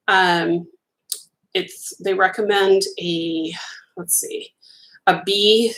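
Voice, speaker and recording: Middle-aged woman, Italian first language, eager live-stream host, mid-pitched voice, warmly gushing. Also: rough recording. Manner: chatty and enthusiastic, gushing over colors, many ums. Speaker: crochet designer hosting a live stream. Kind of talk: casual live-stream yarn unboxing chat.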